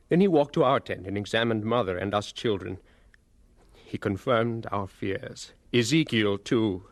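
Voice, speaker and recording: A grown son recalling family sorrows as narrator of an audio drama. His slightly low voice is solemn and measured, recorded close and dry. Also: creaky, dry recording.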